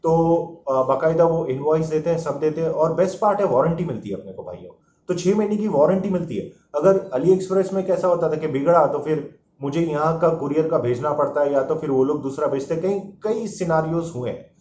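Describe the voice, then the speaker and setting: A man's voice: on the high side, expressive, a little fast, a little mellow. A man giving a casual, informal product review as a vlogger, mixing English phrases into his explanations. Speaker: motorcycle vlogger reviewing gear. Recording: echoey room, dull muffled sound, faint noise.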